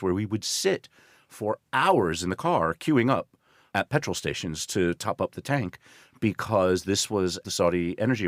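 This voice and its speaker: A man's voice: deep voice, no room sound, clean studio sound. An American man in his sixties, an interview guest telling stories in an articulate, explanatory, conversational way. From northeastern United States. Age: 60s. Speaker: interview guest, journalist and risk consultant. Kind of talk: measured conversational storytelling.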